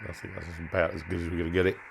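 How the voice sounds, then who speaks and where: Man, lowish voice, informal hobbyist walkthrough, hesitant and trailing off, noticeable noise behind the voice. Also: little pitch movement, racing, gravelly. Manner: hesitant, trailing off, thinking aloud. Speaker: hobbyist presenter demonstrating modified radio gear. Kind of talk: informal hands-on demonstration.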